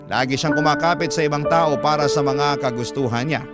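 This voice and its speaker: A man reading a listener's story as a radio drama host, in measured, sentimental first-person scripted narration. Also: on the high side. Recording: loud music bed behind the voice.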